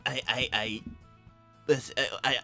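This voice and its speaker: A man plays an earnest young cartoon hedgehog with a sharp, bright voice. He talks haltingly, doubling back on words and trailing off, insecure and rambling in a loose roleplay stream, with faint music underneath. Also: mid-range voice, fairly even intonation, vocal fry, full character voice. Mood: flustered, defensive. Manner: halting, stammering, repeats words. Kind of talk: improvised in-character livestream roleplay.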